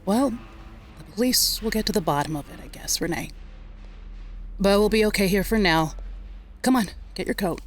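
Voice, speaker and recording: A woman's voice: medium pitch, animated, normal speed, long pauses, soft background music, close to an everyday voice. An actress playing a level-headed, insistent woman in an audio drama, earnest and firm. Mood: resigned, practical. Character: level-headed, insistent woman giving witness and guarding a friend. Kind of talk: naturalistic audio drama acting.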